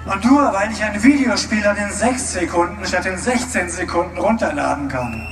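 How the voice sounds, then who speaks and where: A man interpreting a speech live, loud and emphatic, at times searching for words. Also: high voice, lively intonation, moderate pace. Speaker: German interpreter at a rally. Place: outdoor mass rally. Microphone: public address system, recorded from a distance. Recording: distant echoing rally PA with crowd and music.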